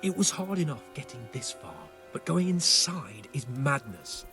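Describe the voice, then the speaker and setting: An actor playing a grumbling, sarcastic nobleman on a raid in a medieval video game, exasperated and quick to swear. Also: mid-range voice, sing-song, a bit bright, faint music behind. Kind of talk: dramatic video game cutscene acting.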